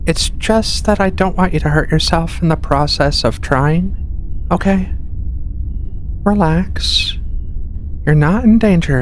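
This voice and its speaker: A woman voicing a giant furry alien researcher in a soft-spoken ASMR roleplay, reassuring, halting and a little flustered. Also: lowish voice, close to an everyday voice. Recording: clear background noise, ship ambience.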